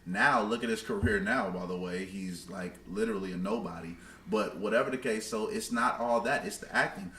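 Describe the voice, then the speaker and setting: A man with a low voice talks as a podcast co-host in a casual, opinionated style. His delivery is emphatic and slangy. The recording is roomy and echoing, with some background noise. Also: a little fast, hardly any pauses, pushed and bright.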